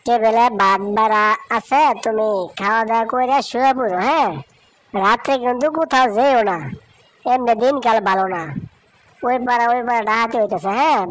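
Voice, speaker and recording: A woman playing a caring, anxious village mother in a cartoon, giving gentle instructions. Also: on the high side, fairly quick, a little hiss or hum, lightly acted, low-quality recording. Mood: caring, cautioning. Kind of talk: cartoon voice acting. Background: music.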